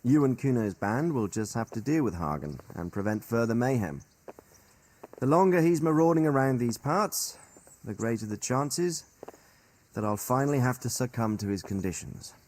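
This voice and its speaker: A male actor as a seasoned royal commander in a game drama. His speech is measured and articulate, with a very lively, varied melody that carries stern authority. He chooses formal words and sets them out deliberately. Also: mid-range voice, lightly acted. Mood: resolute, pondering. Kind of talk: dramatic video game cutscene dialogue.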